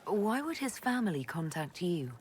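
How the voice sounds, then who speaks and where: A woman's voice: lowish voice, sing-song, normal speed, a little mellow. A woman voicing the frank, questioning leader of a fantasy order in a video game, in a direct and skeptical manner. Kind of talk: video game dialogue acting. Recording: clear background noise, game ambience.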